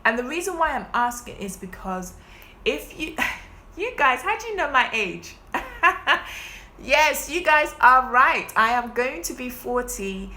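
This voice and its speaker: Woman life coach, forty, bright voice, chatty and persuasive, live stream in a roomy space. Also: high-pitched, big pitch swings, measured pace. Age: 40s. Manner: direct, persuasive, chatty with viewers. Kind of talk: live-stream masterclass pitch. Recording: echoey room.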